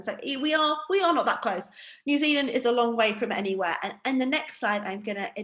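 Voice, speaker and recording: Northeast english woman teaching a wine class on a video call, chatty and jokey, echoey room. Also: medium pitch. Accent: Northeast England. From Northeast England. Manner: chatty, self-deprecating, lively. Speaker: wine educator. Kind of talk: online training presentation. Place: home room.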